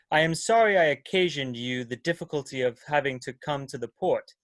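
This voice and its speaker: A man's voice: mid-range voice, a bit bright, no echo, natural voice. A man playing a middle-aged military general, formal, hearty and polite, in a dramatic science fiction reading. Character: middle-aged jovial courteous military general of a space fleet.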